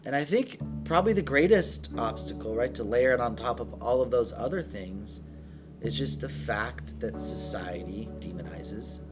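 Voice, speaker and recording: A man's voice: medium pitch, animated, moderate pace, over music. A man giving reflective, explanatory answers in an unscripted interview.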